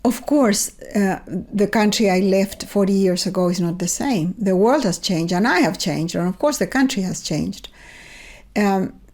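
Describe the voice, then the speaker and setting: An older woman from Chile with a Chilean accent, a native Spanish speaker, talking in a measured, thoughtful way as an interview guest on a remote call. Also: mid-range voice, a little mellow. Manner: thoughtful, measured storytelling with repeated restarts. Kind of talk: reflective conversational interview. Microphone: remote video call.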